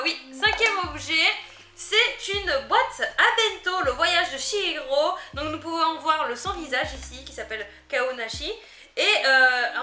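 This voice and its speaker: Bright high-voiced woman, chatty and giggly. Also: roomy sound. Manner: chatty, easily delighted, giggly. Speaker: unboxing vlogger. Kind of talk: casual product unboxing chat.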